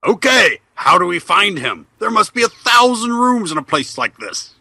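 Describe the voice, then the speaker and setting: Man doing a high, urgent wrestler ghost. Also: sing-song, normal speed, pushed and bright, breathy edge, no room sound, exaggerated voice. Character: ghost of a wrestler, eager practical sidekick man.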